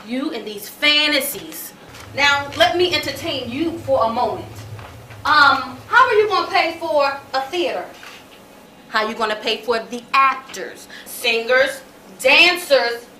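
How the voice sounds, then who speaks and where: A woman's voice: high-pitched, animated, unhurried, pushed and bright, exaggerated voice. An American woman playing a stern, domineering mother on stage, scolding in an emphatic, dismissive manner. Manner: scolding, emphatic, rhetorical questions. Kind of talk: stage play acting. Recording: echoey stage, distant mic, faint noise.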